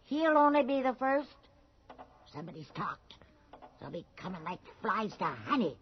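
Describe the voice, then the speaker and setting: An actress playing a shrewd, bossy small-town storekeeper with a folksy, insistent way of talking in a radio drama. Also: female voice, medium pitch, very animated, normal speed, close to an everyday voice. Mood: scolding, anxious. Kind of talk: 1980s radio drama acting. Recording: muffled old radio broadcast.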